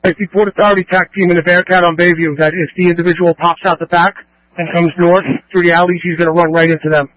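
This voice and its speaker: A male police officer reports from the scene in terse, rapid bursts. His voice is fairly high, and it comes through a dull, lo-fi police radio. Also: flat delivery, no room sound. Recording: muffled police scanner radio.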